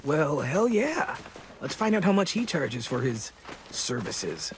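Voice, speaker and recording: A woman's voice: low-pitched, big pitch swings, slow pace, a little hiss or hum, heavily acted. A woman voicing a blunt, sardonic, loyal teenage girl survivor in a dramatic video game. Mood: wry. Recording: loud music under dialogue. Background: dramatic game music.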